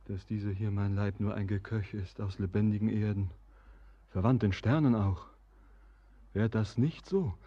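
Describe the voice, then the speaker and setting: Husky, brooding young sailor. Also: male voice, fairly low pitch, dark tone. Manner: declamatory, solemn, rapturous. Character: brooding, visionary young sailor, yearning and melancholy. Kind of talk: verse radio drama acting. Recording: dull, muffled radio drama recording.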